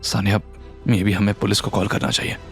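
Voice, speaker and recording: An agitated young rich man, played by a male narrator with a quick temper. He speaks in an unsettled way in an audio drama, with music behind. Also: fairly low pitch, little pitch movement, gravelly, a bit bright. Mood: nervous.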